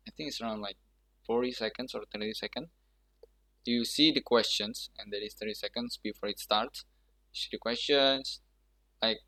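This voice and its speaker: A young man with an Indonesian accent giving casual, halting advice about an online interview, with frequent restarts. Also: on the low side, bright, forceful tone. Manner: halting, many restarts and laughs. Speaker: vlogger sharing scholarship interview tips. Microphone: laptop or external mic.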